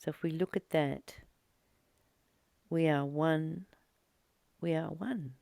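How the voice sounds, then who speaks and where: Low, slow, creaky-voiced woman reading. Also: fairly even intonation, dark tone, no room sound. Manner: halting, reflective, explanatory, with pauses between short phrases. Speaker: spiritual study reader and commentator. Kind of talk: slow read-aloud study with informal commentary.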